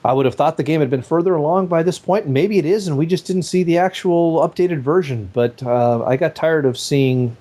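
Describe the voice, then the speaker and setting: A man's voice: fairly high pitch, a little fast, hardly any pauses, dark tone. A man hosting a casual gaming podcast, rambling on at length with plenty of fillers and restarts. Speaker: podcast host.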